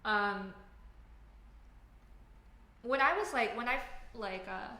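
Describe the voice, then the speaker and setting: A woman's voice: a little high, animated, slow, bright, forceful tone. A woman from Canada with a Canadian accent, chatting casually on a livestream, full of filler words and tangents. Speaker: livestream host, software engineer. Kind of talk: casual livestream chat reading comments. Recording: echoey room, faint background ambience.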